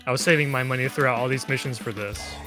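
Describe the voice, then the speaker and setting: Very fast-talking male speedrunner. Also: medium pitch. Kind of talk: casual live gameplay commentary. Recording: commentary over loud game music.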